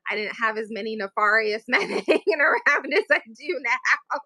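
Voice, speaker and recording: A woman's voice: on the high side, lively intonation, moderate pace, bright, forceful tone, dry recording, clean studio sound. A woman from New England, an author chatting casually as a guest and laughing often as she talks. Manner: giggly, laughs often while talking. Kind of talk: casual chat.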